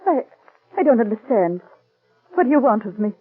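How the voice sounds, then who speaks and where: An anxious working-class wife, halting and plain-spoken, with a husky, dry voice. It sounds like a tinny old radio broadcast. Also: female voice, on the high side, big pitch swings, no echo. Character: worried working-class wife, Mrs. McNulty. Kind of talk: 1940s radio acting.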